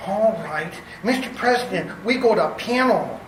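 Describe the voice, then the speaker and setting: A man's voice: high-pitched, expressive, normal speed, a bit bright. A man playing a timid, giggly doctor sidekick to a villain in a radio play, stammering and fawning. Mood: nervous, placating. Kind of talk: radio theater acting. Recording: echoey, distant, faint noise.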